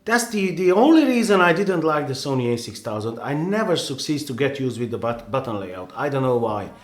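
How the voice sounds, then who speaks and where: An earnest man with a Hungarian accent talks through his advice, voice pitched in the middle range, circling back with plenty of restarts and "you know"s. He sounds like he's in a roomy, echoing space, with faint music somewhere behind him. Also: sing-song, brisk. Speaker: photographer giving advice. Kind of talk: casual advice vlog.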